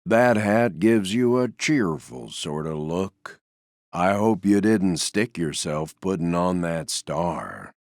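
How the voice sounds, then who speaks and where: Gloomy old toy donkey for a video game, super low gravelly drawl, deadpan n resigned. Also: male voice, dry recording, studio quality, heavily acted. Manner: slow, glum, deadpan, understated, trailing off. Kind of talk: video game character voice lines.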